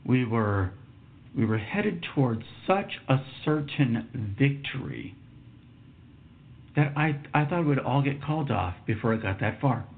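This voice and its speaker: A man's voice: medium pitch, expressive, unhurried. A man in his fifties from Texas hosting a solo podcast, telling a story in a reflective, emphatic way and dropping to a whisper for asides. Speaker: podcast host. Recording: tinny phone-like sound.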